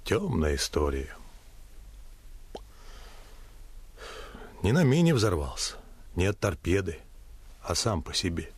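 An actor playing a burly sailor commissar in a Russian radio play, telling a grave tale in measured short phrases with a husky voice. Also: male voice, low voice, big pitch swings, darkish tone, heavily acted. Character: burly sailor commissar recounting a battleship disaster.

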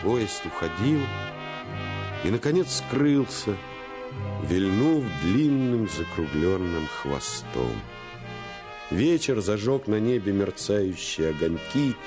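A man narrating a Russian radio play as someone recalling his boyhood, in a measured, solemn and wistful manner. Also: on the low side, very animated. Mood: solemn, nostalgic. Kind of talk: scripted radio drama narration. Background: music.

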